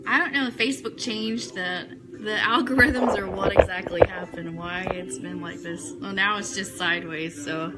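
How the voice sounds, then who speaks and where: A woman's voice: medium pitch, fairly even intonation, normal speed, hardly any pauses, music in the background, poor audio. A woman hosting a live online radio show, chatting casually with viewers as she shares news items. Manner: chatty, addressing viewers and reading their comments.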